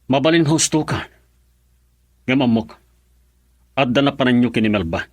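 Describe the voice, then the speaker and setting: Man playing a husky, stubborn Ilocano husband. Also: medium pitch, expressive, moderate pace, no room sound, close to an everyday voice. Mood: suspicious. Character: middle-aged farmer husband, stubborn, wounded and suspicious. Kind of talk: radio drama acting.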